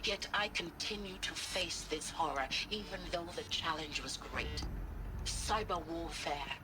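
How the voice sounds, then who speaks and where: An actor playing a proud, weary, defiant military captain in a video game cutscene, formal and measured with dry delivery. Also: male voice, fairly high pitch, very noisy, natural voice. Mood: grave, defiant.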